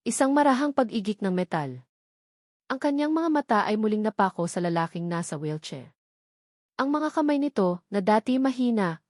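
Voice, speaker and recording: A woman's voice: medium pitch, darkish tone, no room sound, clean studio sound. A woman reading a scripted romance story as a dramatic narrator.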